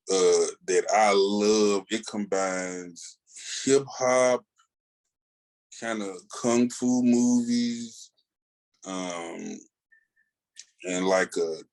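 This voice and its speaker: A man's voice: on the low side, low-quality recording. A man co-hosting a podcast, talking casually in a slangy, emphatic, digressive way as he gives his opinions. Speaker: comics podcast co-host. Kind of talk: casual, opinionated comic book review chat.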